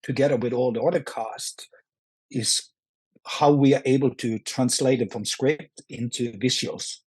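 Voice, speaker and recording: A man with a Norwegian accent, speaking English as a second language, answering interview questions in a halting way full of restarts and fillers. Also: medium pitch, steady tone, a little mellow, husky, no room sound. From Norway. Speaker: actor, guest. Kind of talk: casual interview.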